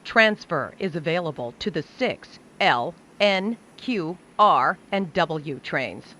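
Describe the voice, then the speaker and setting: A woman reading scripted subway train announcements in a measured, carefully enunciated way, phrase by phrase. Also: fairly low pitch, expressive, sharp tone. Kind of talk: scripted automated transit announcements.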